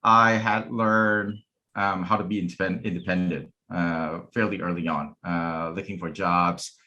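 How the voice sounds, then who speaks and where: A man with a very low voice speaks as a panel guest. He is thoughtful and halting, often pausing and restarting his sentences. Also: fairly even intonation, pushed and bright, dull audio. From Taiwanese family. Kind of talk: casual panel talk.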